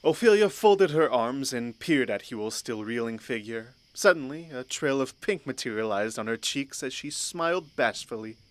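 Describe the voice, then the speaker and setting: A man's voice: mid-range voice, lively intonation, moderate pace, hardly any pauses, dry recording. A man narrating a scripted fantasy story. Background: faint background music.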